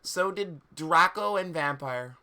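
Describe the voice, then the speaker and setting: Bright-voiced man doing a dramatic reading. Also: on the high side, animated, takes it slowly. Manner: stumbles over misspellings, reads with mock seriousness. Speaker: streamer reading fan fiction aloud. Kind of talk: live dramatic reading with amused asides.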